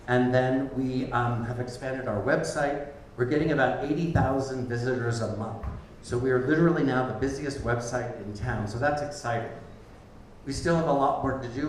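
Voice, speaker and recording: Chatty man hosting, echoey room. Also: medium pitch. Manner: chatty, loose and rambling, with many ums. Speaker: event host. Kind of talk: opening remarks at a live community event. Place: back room of a bar. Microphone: handheld microphone from a distance. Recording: echoey room, far from the mic, faint noise.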